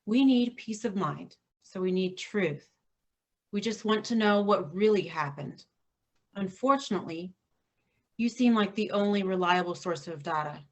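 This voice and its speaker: An actress playing a stern middle-aged mother who leads vigilante women in a staged reading, firm and measured. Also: mid-range voice, close to an everyday voice.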